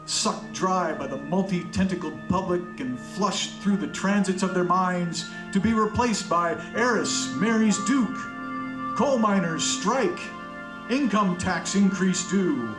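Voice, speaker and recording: An actor voices a murdered man narrating radio noir in a slightly high male voice, very slow and florid. He is bitter and brooding, in a roomy space with guitar music behind him. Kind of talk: poetic radio noir monologue.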